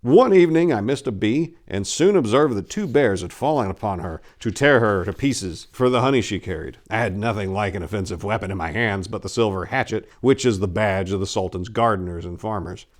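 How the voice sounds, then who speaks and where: A man voicing a boastful gentleman adventurer baron, telling tall tales in a grandiose, dramatic storybook reading. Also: lowish voice, lively intonation, brisk, hardly any pauses, close to an everyday voice. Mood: grandiose, earnest.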